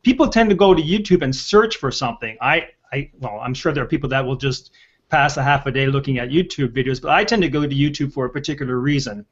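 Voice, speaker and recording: A man's voice: medium pitch, fast. A man in his sixties hosting a casual panel, hesitating often, over a lo-fi video call. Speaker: host of a panel video show. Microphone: headset on a video call. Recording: dull lo-fi video call audio.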